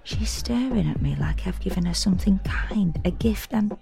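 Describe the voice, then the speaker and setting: A woman's voice: medium pitch, expressive, normal speed, creaky, mellow. An actress playing a sardonic, self-deprecating woman in an intimate, confiding first-person audio drama monologue. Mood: wry, hushed. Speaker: solo performer reading a dramatic monologue. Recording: music under the voice.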